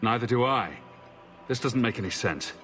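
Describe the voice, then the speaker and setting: A man's voice: mid-range voice, normal speed, a bit bright, soft background music, lightly acted. A man playing a resolute, direct hero in a fantasy video game, questioning firmly. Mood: puzzled, determined. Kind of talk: video game cutscene acting.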